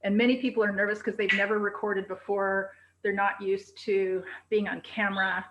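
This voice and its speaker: A woman presentation coach who projects her voice loudly and with high energy, speaking on a video call. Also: little pitch movement, normal speed, dull audio, background noise.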